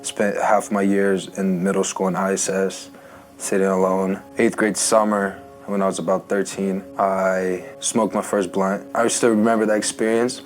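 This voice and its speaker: Low, flat-voiced young man. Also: faint music behind, low-quality recording. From Ukraine. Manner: halting, filler-heavy speech with many restarts. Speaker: interview guest sharing testimony. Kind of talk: casual personal testimony.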